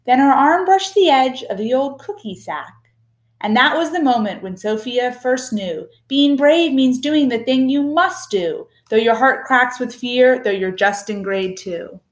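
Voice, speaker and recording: A woman reads a children's picture book aloud expressively, with a measured, rhythmic delivery of rhyming verse. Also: high-pitched.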